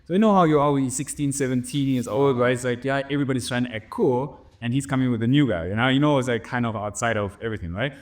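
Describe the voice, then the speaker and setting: A man's voice: medium pitch, fast talker, few pauses. Man with a slight German accent. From Germany and Namibia. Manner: rambling, many restarts and "right?" tags. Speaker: DJ guest. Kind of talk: casual interview storytelling.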